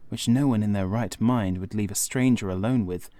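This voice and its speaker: A man reading a gothic horror audiobook in the first person, in a measured, formal storytelling manner. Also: fairly low pitch, warm tone. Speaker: audiobook narrator.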